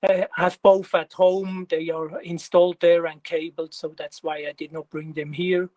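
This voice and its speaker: A man in his fifties from Switzerland with a Swiss German accent, giving a technical presentation in an unhurried way, with many pauses and fillers. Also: on the high side, little pitch movement, a little mellow, no echo. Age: 50s.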